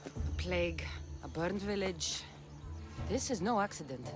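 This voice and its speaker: A woman's voice: lowish voice, lively intonation, measured pace, over music. A woman playing a tough, determined mercenary of ancient Greece in a video game cutscene, blunt and commanding. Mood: resolute.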